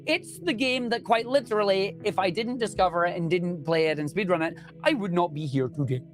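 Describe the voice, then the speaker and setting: A man's voice: high voice, fairly quick. A young man with a Scottish accent, a speedrunner chatting enthusiastically and jokily in an interview. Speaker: speedrunner guest. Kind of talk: casual interview chat.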